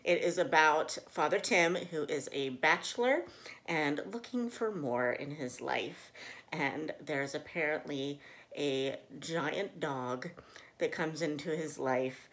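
A woman's voice: sits low, animated, slow pace, a bit bright. A cheerful woman in her thirties chatting casually to camera as a book vlog host. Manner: cheerful, chuckles often. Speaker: host of a book channel and read-along co-host. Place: small living room indoors.

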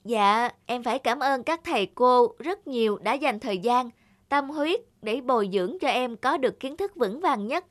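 A woman voicing a devoted, earnest teenage student from a poor family in an animated moral tale, polite and youthful in manner. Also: on the high side, normal speed, soft background music. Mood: grateful.

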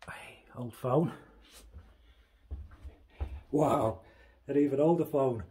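A man exploring a dark abandoned building, murmuring excited, hushed remarks to his handheld camera. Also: on the high side, very slow. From England. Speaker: urban explorer. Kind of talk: exploring vlog. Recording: noisy handheld camera audio.

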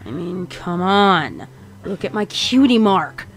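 A woman's voice: lowish voice, big pitch swings, slow pace, soft background music, exaggerated voice. A woman voicing a grumpy, foul-mouthed female cartoon pony storyteller in a theatrical, exasperated manner for a comic dub.

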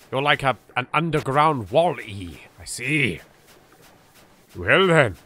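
A man with an English accent giving casual, chatty, reactive gameplay commentary as a let's play host. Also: medium pitch. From England. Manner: animated, reactive, politely chatty. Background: game music.

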